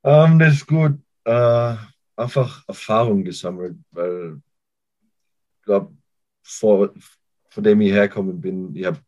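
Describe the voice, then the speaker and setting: A young man with an Austrian accent, a college football player answering interview questions in a halting way, often restarting sentences. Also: on the low side, dark tone, rough recording. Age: young adult. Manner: halting, restarts sentences while searching for words. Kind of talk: casual interview talk.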